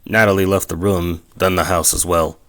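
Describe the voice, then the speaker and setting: A man acting as a calm storyteller, reading scripted third-person narration for an audio drama. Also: deep voice, moderate pace.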